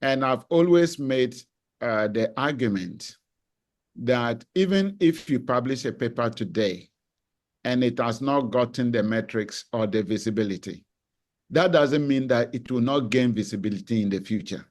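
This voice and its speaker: A professor answers a question on a panel at a deliberate pace, thinking aloud and pausing with small hesitations. He is a man with a voice of middle pitch, speaking over a video call. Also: animated. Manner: deliberate, reflective, some hesitations. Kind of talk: measured academic answer.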